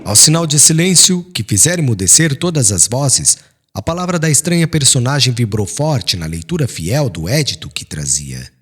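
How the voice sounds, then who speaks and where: A man giving a solemn, expressive, dramatic reading of a literary novel as an audiobook narrator. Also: mid-range voice, normal speed, slightly rough.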